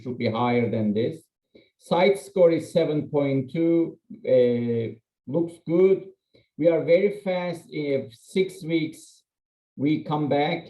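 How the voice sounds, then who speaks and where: A man's voice: mid-range voice, dark tone. A man from Turkey, a non-native English speaker and journal editor, presenting an academic webinar in a candid, self-deprecating, conversational way with frequent fillers. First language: Turkish. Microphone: computer mic on video call. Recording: echoey, slightly muffled video-call audio.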